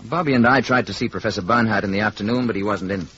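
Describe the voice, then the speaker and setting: An actor playing a calm, courteous alien man passing as a mild lodger in a 1950s radio play. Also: lowish voice, lightly acted. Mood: polite, friendly. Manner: polite, measured wording, gently assured. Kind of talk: 1950s radio drama acting. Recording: dull, noisy old radio recording.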